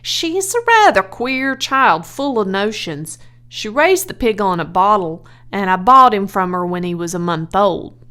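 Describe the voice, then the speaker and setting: A woman voicing a self-important middle-aged male farmer in a storybook, speaking in a deliberate, emphatic way. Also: medium pitch, expressive, sharp tone, clear, resonant tone. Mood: affable. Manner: pompous, deliberate, weighty.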